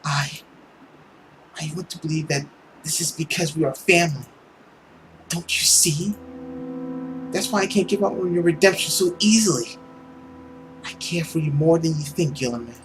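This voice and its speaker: A man's voice: a little high, normal speed, pauses often, music in the background, close to an everyday voice, rough recording. A man voicing an earnest, emotional young woman in a visual novel, speaking in a dramatic, pleading way. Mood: tender.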